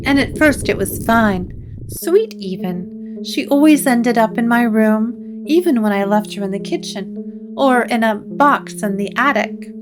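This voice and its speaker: A woman's voice: on the high side, a little slow, lightly acted. A woman acts out a troubled woman haunted by a doll, her voice lifted above plain narration. She confides hesitantly in gothic audio drama fashion, with prominent guitar music behind her. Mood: uneasy, haunted.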